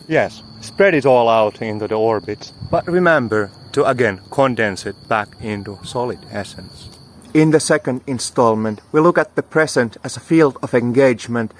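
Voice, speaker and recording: A man delivering a motivational spiritual monologue as a teacher, speaking in an emphatic, poetic, exhorting way. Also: mid-range voice.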